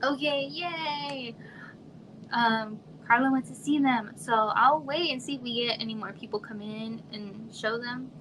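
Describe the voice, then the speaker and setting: A woman from Arizona in her thirties, chatting casually on a home livestream in a quiet, soft voice that trails off and sometimes drops to a whisper. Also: fairly high pitch, unhurried. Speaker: livestream host. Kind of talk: casual live chat with viewers. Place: home room. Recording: noisy home livestream audio.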